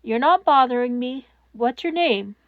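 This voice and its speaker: Woman voicing a caring teen boy, high pitch, earnest, gentle reassurance, hotline story character, slightly muffled lo-fi recording. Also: animated, measured pace, no room sound. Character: kind sixteen-year-old boy hero answering a crisis hotline.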